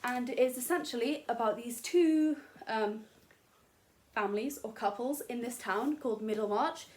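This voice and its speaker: A woman's voice: fairly high pitch, moderate pace, darkish tone. Chatty young woman, bookish vlogger. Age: young adult. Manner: chatty, self-deprecating, rambling with many fillers. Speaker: booktuber. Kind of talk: casual chat to camera. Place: bedroom at home.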